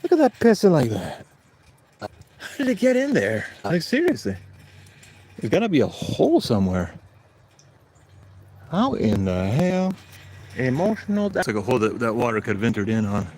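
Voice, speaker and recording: A man's voice: medium pitch, huge pitch swings, normal speed, long pauses, darkish tone. Sniffly man muttering while he works. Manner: mutters to himself while working, casual swearing. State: sniffly from allergies. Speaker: homesteader livestream host. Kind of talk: casual livestream talk while working. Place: on his homestead, working outdoors. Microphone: camera set up nearby. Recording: noisy work-site recording.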